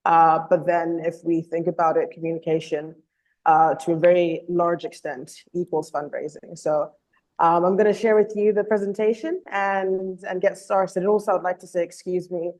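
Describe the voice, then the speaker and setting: A woman giving an earnest online presentation as a communications officer, slightly hoarse from a cold, with frequent ums and uhs. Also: on the low side, fairly even intonation, normal speed, a little mellow, rough recording. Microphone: video call.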